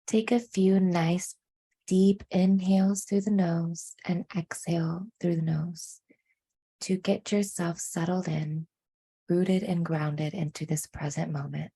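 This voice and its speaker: Woman doing guided meditation, real slow and soft, husky low-ish voice, soothing. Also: steady tone. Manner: hushed, soothing, deliberate phrasing with frequent pauses.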